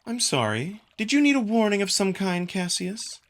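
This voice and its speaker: A man voicing a sharp-tongued female alien wolf in a visual novel, teasing and scolding her brother. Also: high voice, big pitch swings, moderate pace, voice pushed up. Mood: sarcastic.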